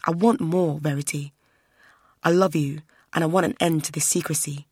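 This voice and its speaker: Hurt young man, quiet and sad, voiced by a woman narrator; dry close sound. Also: deep voice, steady tone, moderate pace, slightly rough, no echo. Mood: quietly final. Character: hurt young man pleading with his secret lover. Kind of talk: audiobook narration.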